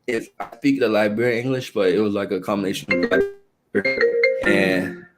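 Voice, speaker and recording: Halting young man, lowish voice. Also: loud music behind the voice. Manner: halting, with frequent restarts and fillers. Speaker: guest. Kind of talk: casual conversational interview.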